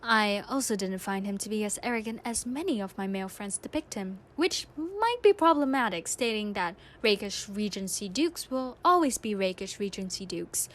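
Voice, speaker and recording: A woman's voice: mid-range voice, lively intonation, moderate pace, few pauses, close to an everyday voice. A woman voicing a prim, gossipy Regency society narrator. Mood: arch, gossipy.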